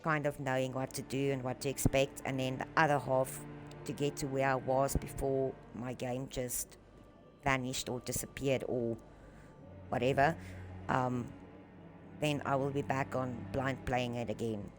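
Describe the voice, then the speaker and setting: A woman's voice: very deep voice, little pitch movement, a little slow. A South African woman whose first language is Afrikaans, chatting casually as a game streamer in a rambling way with many fillers, speaking into a headset. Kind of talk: casual live-stream chat. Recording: voice over loud game music.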